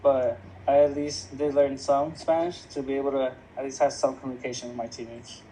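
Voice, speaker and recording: A young man with a mellow, warm voice answering questions on a casual podcast, pausing often with fillers. Faint background noise runs under him. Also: mid-range voice, level tone, rough recording. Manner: hesitant, full of fillers. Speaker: podcast guest.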